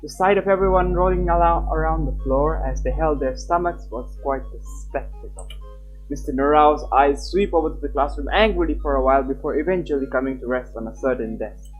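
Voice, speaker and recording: A streamer reading a visual novel aloud live and chatting casually with viewers. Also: male voice, fairly high pitch, husky, soft background music, poor audio. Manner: animated, chatty, quick laughs.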